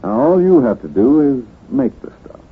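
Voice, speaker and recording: A husky, shady radio crook. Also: male voice, mid-range voice, wildly swooping pitch, moderate pace, exaggerated voice. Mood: sly, persuasive. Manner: slangy, tough-guy talk. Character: pushy, shady crook pitching a racket. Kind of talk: 1940s radio acting. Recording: muffled, phone-like vintage radio.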